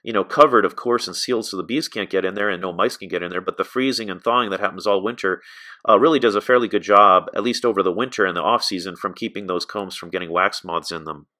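A middle-aged American man talks through an informal slide lesson. His voice sits a little low but has a bright, forceful edge. He explains things plainly, with small asides along the way. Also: quick pace. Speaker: beekeeping instructor.

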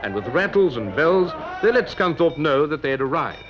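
A man's voice: on the high side, big pitch swings, moderate pace. Man narrating a 1950s newsreel, muffled vintage sound. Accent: British. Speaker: newsreel reporter. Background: music.

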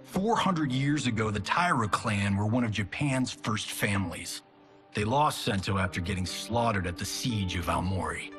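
A man's voice: on the low side, lively intonation, moderate pace, a bit bright. An actor playing a cocky, sarcastic male movie star in video game cutscenes, joking and laughing often. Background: music.

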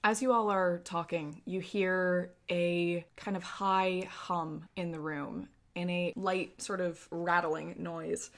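A woman game master narrating a tabletop roleplaying game, setting scenes in a descriptive, measured way. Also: on the low side, steady tone.

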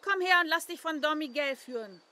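High-voiced, proud mature lady. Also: expressive, fairly quick, bright, forceful tone. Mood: firm. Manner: formal, composed, authoritative. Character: proud, gracious mature mother, stern then charming hostess. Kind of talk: German radio drama acting.

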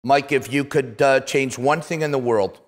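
A Canadian man in his fifties hosting a casual interview, telling stories in an animated, emphatic way and repeating words for punch. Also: medium pitch, normal speed. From Toronto, Canada. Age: 50s. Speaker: interview show host, retired athlete. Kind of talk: casual conversational storytelling. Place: small office-like studio set, indoors. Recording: echoey room with long reverb.

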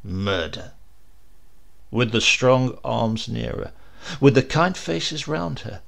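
Creaky-voiced man narrating horror dramatically. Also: mid-range voice, animated, takes it slowly. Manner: theatrical, suspenseful delivery with emphatic swells and hushed drops. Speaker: audiobook narrator.

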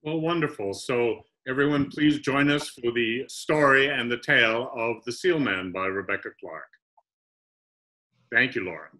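A man's voice: medium pitch, measured pace, bright, forceful tone, low-quality recording. A middle-aged man hosting a video call interview, asking conversational questions from a study at home. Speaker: host.

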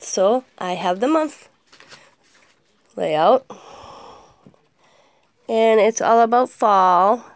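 A hoarse woman with a cold, chatting casually as she walks through her planner pages item by item. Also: medium pitch, no room sound. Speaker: planner vlogger. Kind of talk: casual show-and-tell narration. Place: indoors at a craft desk. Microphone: camera pointed down at the desk, speaker off-screen.

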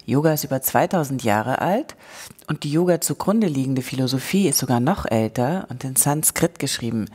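A woman hosting an interview podcast, speaking clearly and articulately with a lightly ironic edge. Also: low voice, darkish tone, roomy sound. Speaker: podcast host.

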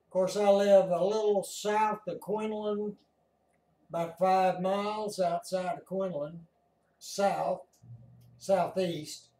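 A man with an American accent giving casual, halting live commentary as he watches and narrates a screen. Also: high-pitched, fairly even intonation, mellow. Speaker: amateur storm-watcher narrating a screen recording. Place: indoors at home by a computer. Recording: roomy echo.

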